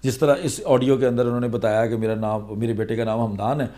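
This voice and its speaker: A man's voice: medium pitch, level tone, fast talker, dark tone. A man with a Pakistani accent speaking as a vlogger, explaining things to viewers conversationally and step by step. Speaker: vlogger giving legal awareness advice. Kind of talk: explanatory vlog talking to viewers.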